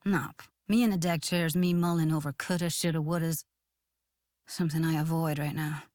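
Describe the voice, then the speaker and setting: A woman's voice: low voice, fairly even intonation, normal speed, darkish tone, no echo, studio quality, close to an everyday voice. A woman playing a blunt, guarded but tender young techie in a video game, speaking in casual, clipped slang with a natural delivery.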